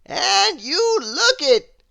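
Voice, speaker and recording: Woman as storybook wizard man, high-pitched, loud, theatrical, helpful, emphatic. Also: very animated, drawn-out words, vocal fry, no room sound, clean studio sound, exaggerated voice. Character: helpful storybook wizard, male, brisk and reassuring.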